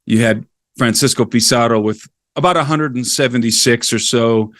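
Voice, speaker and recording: A man's voice: medium pitch, moderate pace, a bit bright, no echo, clean studio sound. A middle-aged man talking as a podcast guest, telling history in a casual, digressive way. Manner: digressive, explains history at length. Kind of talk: casual storytelling conversation.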